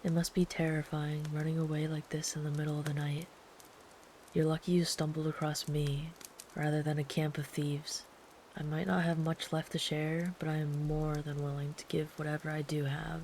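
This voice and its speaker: Woman voicing a gentle big camper, protective, soft-spoken, sometimes whispered, ASMR comfort roleplay, even flat delivery. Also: deep voice, normal speed, a little hiss or hum, poor audio. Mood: soothing. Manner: soft, soothing, calm, at times whispered. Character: big, gentle, protective traveler camping in the woods.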